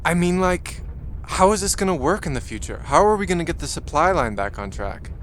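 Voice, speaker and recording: Higher-pitched, hesitant young man played by an actor. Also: very animated, fairly bright tone, slight background noise. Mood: unsure, worried. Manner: hesitant, halting, unsure. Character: earnest, anxious young male novice spaceship traveler. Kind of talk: audio drama dialogue.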